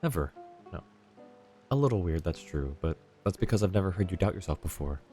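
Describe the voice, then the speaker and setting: A man's voice: low-pitched, expressive, fairly quick, warm tone. A man giving casual, reactive live commentary as a game streamer, in an informal way. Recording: game music under the voice.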